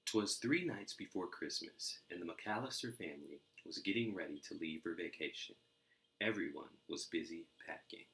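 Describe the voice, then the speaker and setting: A man's voice: deep voice, expressive, normal speed, vocal fry, a bit bright. A man reading a storybook aloud expressively, in an echoey room. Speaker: storybook reader. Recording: roomy, echoey indoor sound.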